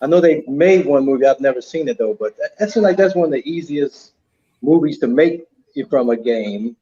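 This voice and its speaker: A slightly muffled, lo-fi recording of a man with a dark, mellow voice at medium pitch. He talks casually as a panelist on an online gaming show. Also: animated, fairly quick, slightly rough. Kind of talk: casual chat. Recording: slightly dull, lo-fi microphone sound.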